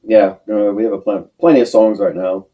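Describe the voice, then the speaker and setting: A man's voice: on the low side, fairly even intonation, brisk, highs cut off. An American man from western Pennsylvania talking casually as a band member being interviewed. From Western Pennsylvania, United States. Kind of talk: casual chat.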